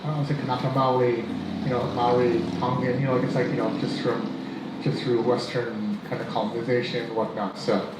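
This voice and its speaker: A man's voice: medium pitch, little pitch movement, brisk. Rambling male moderator, echoey hall. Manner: rambling, many fillers. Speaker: panel moderator. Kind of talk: moderating panel. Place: event hall. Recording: echoey hall, dull sound, noise.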